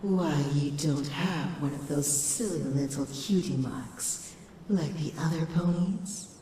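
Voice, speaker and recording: A woman's voice: low voice, slow, mellow. A woman voicing a sinister, manipulative shadow creature in a comic dub, speaking in a coaxing, ominous manner. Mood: taunting, revealing. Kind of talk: dramatic comic dub acting. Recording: echoing hall reverb, distant, faint noise.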